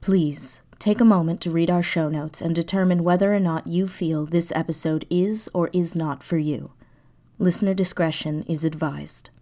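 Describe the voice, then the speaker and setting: A woman speaking clearly to listeners, explaining and announcing for an audio drama. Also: on the low side, fairly even intonation, normal speed, mellow.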